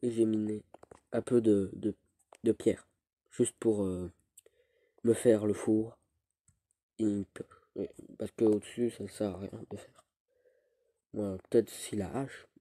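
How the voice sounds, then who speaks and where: A teenage boy with a cold, rambling through casual gameplay commentary with halting restarts. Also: on the low side, steady tone, dark tone, dry recording. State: stuffy with a cold. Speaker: gaming youtuber.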